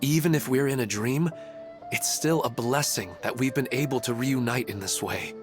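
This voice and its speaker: A man's voice: medium pitch, moderate pace, natural voice. A man playing earnest family man, music behind. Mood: tender, apologetic. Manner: plain-spoken, sincere. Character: earnest family man, humble, grateful, wistful husband and father. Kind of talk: video game voice acting.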